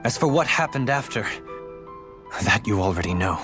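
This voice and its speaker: An actor playing a refined, melancholy young lord in a video game, speaking in formal, eloquent, measured phrases. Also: male voice, lowish voice, expressive, fairly bright tone, soft background music. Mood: sorrowful, regretful. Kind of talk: dramatic video game voice acting.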